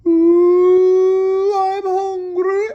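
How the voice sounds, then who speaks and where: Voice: very high voice, monotone, extremely slow, highs cut off, voice pushed up, exaggerated voice. A storybook reader voicing a little old man, overworked and hungry, in a plaintive, exclaiming way.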